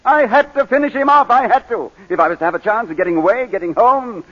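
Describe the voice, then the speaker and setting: A husky, haunted British gentleman on old radio. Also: very high-pitched, animated, heavily acted. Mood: anguished. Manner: halting, stammering, overwrought. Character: anguished British gentleman, war veteran and plaintiff, haunted. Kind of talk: 1940s radio drama acting. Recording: dull, lo-fi 1940s radio recording.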